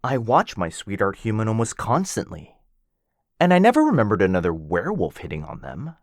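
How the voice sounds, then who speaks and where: A man's voice: fairly low pitch, huge pitch swings, fairly quick, gravelly, no room sound, studio quality, exaggerated voice. A man playing a cocky, teasing werewolf. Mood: defensive. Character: cocky, playful male werewolf rival. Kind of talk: boyfriend ASMR roleplay.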